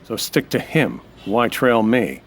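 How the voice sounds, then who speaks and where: An actor playing a suspicious, hard-boiled American nightclub owner who narrates in a wry, terse radio noir style. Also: male voice, medium pitch, big pitch swings, slow pace, a little hiss or hum. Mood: sceptical. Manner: wry, terse, tough-talking. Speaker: actor playing lead detective-style hero. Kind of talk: old-time radio noir monologue and dialogue.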